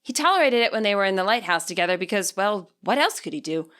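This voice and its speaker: A woman reading expressive scripted narration as the author and narrator of an audiobook. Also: mid-range voice, fast talker, clean tone.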